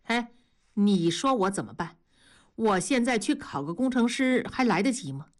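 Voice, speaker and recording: A woman's voice: medium pitch, expressive, moderate pace, close to an everyday voice. Woman playing a good-natured, earnest male factory worker. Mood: teasing exasperation.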